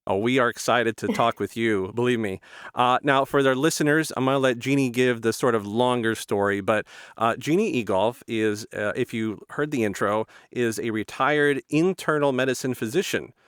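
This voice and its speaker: A man's voice: mid-range voice, normal speed, a bit bright, clear, resonant tone, no room sound. Podcast interviewer, man, mid-range voice, recorded very dry, conversational presenting style. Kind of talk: hosting an interview, introducing the guest.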